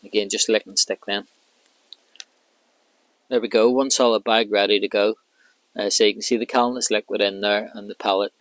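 A man in his thirties, a fishing vlogger, calmly explaining each step of his rig while working outdoors by a lake with a clip-on mic. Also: on the low side, moderate pace, pauses often, no echo. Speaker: carp angler vlogger. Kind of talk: casual how-to commentary.

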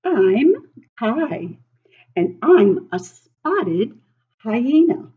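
Woman, 60s, teacher reading to little kids. Unhurried, exaggerated, swooping delivery, slightly muffled home webcam recording. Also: on the high side. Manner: expressive, exaggerated, asks listeners questions. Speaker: teacher reading a picture book to children. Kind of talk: animated read-aloud for young children. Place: living room at home, indoors.

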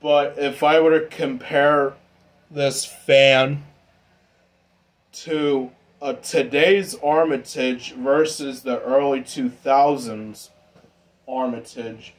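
A man giving a casual, informal hobbyist demonstration in an unhurried, rambling way. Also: mid-range voice. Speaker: ceiling fan hobbyist vlogger. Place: indoor room with exposed pipes and ductwork.

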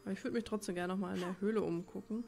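Woman let's player, medium pitch, creaky voice, informal musing, game music behind. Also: fairly even intonation, very fast, darkish tone. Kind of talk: casual gameplay commentary.

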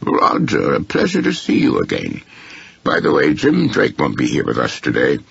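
A breezy, excitable male artist from an audiobook story, acted out by a man, eager and chatty. The voice is deep and gravelly with lively pitch swings. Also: normal speed, no room sound, muffled recording, exaggerated voice.

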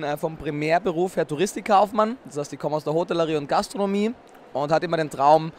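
A young man talks into a handheld mic at an indoor expo booth. His voice sits a little high, and he explains things fluently and articulately, often with a short chuckle before he answers. Faint background noise runs underneath. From Austria. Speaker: interview guest, nutrition expert. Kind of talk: casual interview answers.

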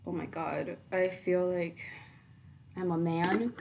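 A teenage girl chatting casually through her makeup routine in a small bedroom, rambling with lots of ums and likes, her voice fairly low for her age. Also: slow pace, a little mellow, slight background noise. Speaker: beauty vlogger. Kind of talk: casual makeup tutorial chat.

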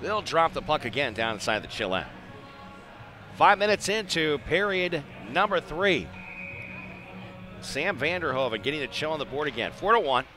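A man calling live ice hockey play-by-play in clipped phrases, excitable when the action heats up. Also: on the high side, moderate pace, pauses often, faint music behind. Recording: live arena broadcast with background noise.

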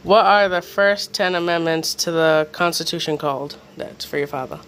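A man with a fairly high voice hosts a casual home quiz. He reads questions aloud in an informal way. There is slight noise behind him. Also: moderate pace, a bit bright. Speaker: quiz host.

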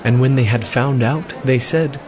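A man's voice: mid-range voice, moderate pace, crowd noise, noisy background. A man narrating scripture from a script as part of a dramatized reading. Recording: music bed behind voice.

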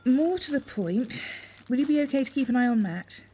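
A woman acting in a radio soap as a brisk, doubting wife who runs a village post office. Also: high-pitched, expressive, mellow, slight background noise, low-quality recording. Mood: brisk worry.

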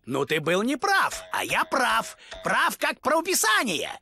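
A conceited little cartoon inventor, creaky and sharp. He is cranky and boastful, whining in wide swoops over music. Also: extremely high pitch, huge pitch swings, measured pace, heavily acted. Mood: conceited, indignant. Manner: boastful, whiny. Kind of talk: cartoon video game dubbing.

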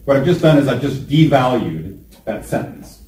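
A man in his forties runs a presentation skills workshop, upbeat and deliberate, stretching out the key points. His voice is mellow and sits at an average pitch. It was caught from across a large training room, with echo and a faint hiss. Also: big pitch swings. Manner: upbeat, calls on trainees by name, slows down to stress points. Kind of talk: classroom workshop lecture. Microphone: room camera from a distance. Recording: echoey room, distant mic.

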